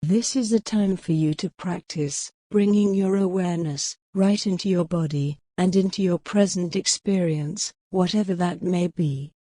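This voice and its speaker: A woman leading a guided mindfulness meditation in a gentle, soothing, measured way with long pauses. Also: lowish voice, no echo, studio quality.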